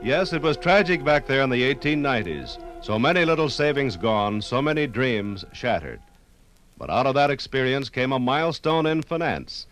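Male narrator, medium pitch, expressive range, solemn vintage radio storytelling. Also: normal speed. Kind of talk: dramatic scripted 1950s radio narration. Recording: 1950s vinyl radio recording, music underneath.

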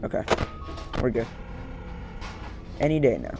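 A man's voice: mid-range voice, huge pitch swings, measured pace, noisy background. A young man giving casual gameplay commentary, rambling and muttering as he talks to the game's characters. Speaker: gaming streamer. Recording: loud game music behind voice.

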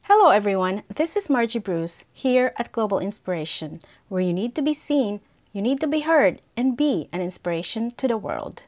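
A middle-aged woman hosting an interview, delivering a scripted welcome and questions in a measured, deliberate way. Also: medium pitch, big pitch swings, darkish tone. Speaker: host. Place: indoor studio against a brick wall.

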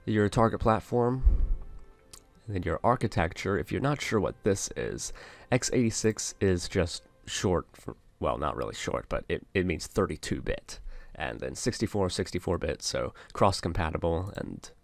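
A man narrating a casual software tutorial, explaining things step by step as an instructor. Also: sits low, expressive, moderate pace, vocal fry, soft background music.

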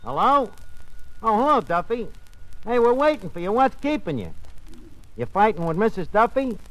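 An actor playing a sarcastic, streetwise tavern manager with folksy, ungrammatical patter in old radio comedy. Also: male voice, sits high, very animated, moderate pace, a bit bright. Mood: wry, bantering. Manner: folksy, sarcastic, malaprop-prone, hesitant patter. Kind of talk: 1940s radio comedy acting. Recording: noisy old-time radio recording.

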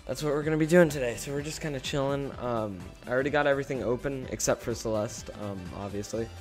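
Teen guy streaming from his bedroom, kinda gravelly today, rambly and chill, close desk mic, faint music behind. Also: mid-range voice, fast talker. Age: teens. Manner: rambling, relaxed, trails off while fiddling with settings. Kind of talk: casual livestream chat. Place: small bedroom with gaming chair. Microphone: desk microphone close up.

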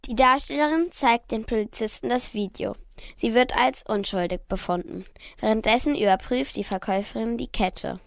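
A woman's voice: on the high side, moderate pace, gravelly, dry recording, clean studio sound. A young girl narrating a crime radio play from a script. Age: child about 10 to 13.

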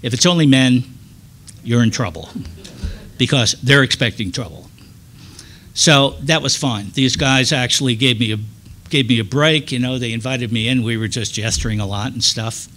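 An older man telling stories to an audience from a lectern on a hall stage, relaxed and dry-humored, into a stand mic. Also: mid-range voice, moderate pace. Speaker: presenter. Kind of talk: storytelling talk to an audience.

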